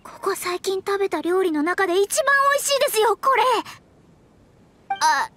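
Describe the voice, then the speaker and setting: A woman's voice: very high voice, animated, normal speed, fairly bright tone. A woman voicing a proud young astrologer in a Japanese anime game, prim and polite but stammering when flustered. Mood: delighted, flustered. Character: proud young female astrologer, easily flustered, putting on dignity. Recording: music behind the voice.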